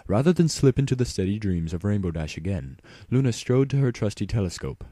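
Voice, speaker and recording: A man's voice: lowish voice, big pitch swings, fairly quick, warm tone, no echo, clean studio sound. A man reading a scripted story aloud as a dramatic narrator. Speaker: fan fiction narrator. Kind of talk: dramatic scripted narration, spoofing an audiobook reader.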